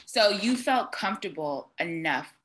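A woman's voice: mid-range voice, expressive, a little slow, fairly bright tone, a little hiss or hum. A woman hosting a podcast, speaking in an empathetic, conversational way and affirming her guest often. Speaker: podcast host. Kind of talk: conversational interview.

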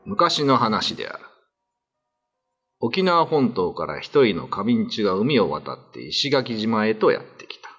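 Husky male ghost-story narrator. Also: lowish voice, sing-song, a little slow, fairly bright tone, soft background music.

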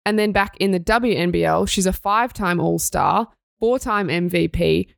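A woman's voice: on the low side, normal speed, vocal fry, no room sound, clean studio sound. A young Australian woman co-hosting a sports news podcast, reading stories and chatting in an upbeat way. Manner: upbeat, reads news items then chats. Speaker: podcast co-host.

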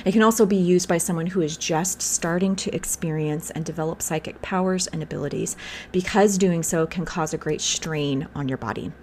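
A woman hosting a podcast in a casual, rambling way, slightly hoarse, sometimes reading aloud from a website. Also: lowish voice, darkish tone, a little hiss or hum. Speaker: podcast host. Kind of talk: casual spiritual podcast talk.

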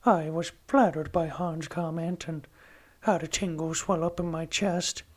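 A man doing an impression of a gentle, simple-minded cartoon bear who loves honey, reading a diary aloud. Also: fairly high pitch, fairly even intonation, unhurried. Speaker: podcast host. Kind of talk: amateur storybook impression.

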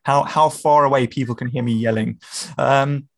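A man's voice: mid-range voice, fairly even intonation, moderate pace, vocal fry. Brief: a male podcast host with a friendly manner who restarts words often. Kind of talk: casual interview.

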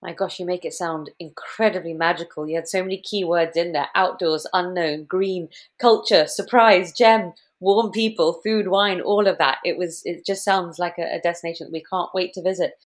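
A woman interviewer with a slightly low voice. She sounds enthusiastic and encouraging as she responds to guests and guides the conversation. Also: steady tone, normal speed. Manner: enthusiastic, affirming. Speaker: interviewer and host. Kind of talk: interview hosting.

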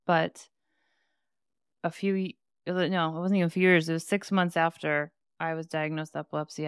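A woman's voice: low voice, creaky, clean tone, no room sound, clean studio sound. A woman co-hosting a podcast, talking in a chatty, bubbly way with lots of fillers. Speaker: podcast co-host. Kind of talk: casual podcast chat.

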